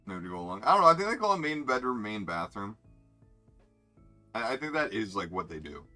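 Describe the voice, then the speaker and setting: Bright, lively young man streaming. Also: lowish voice, quick pace, faint music behind. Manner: rambling, joking, reads out player names. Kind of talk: casual live game commentary.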